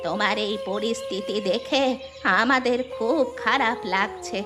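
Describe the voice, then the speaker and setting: A woman's voice: fairly high pitch, lively intonation, measured pace. A woman voicing a kind, plain-spoken old village grandmother in a fairy-tale cartoon. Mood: kindly. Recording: music behind the voice.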